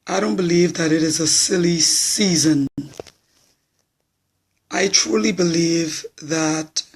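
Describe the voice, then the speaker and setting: Hoarse bahamian man, forties, high gravelly voice, slow blunt talk. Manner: blunt, plain-spoken. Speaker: political supporter. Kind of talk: live social media talk.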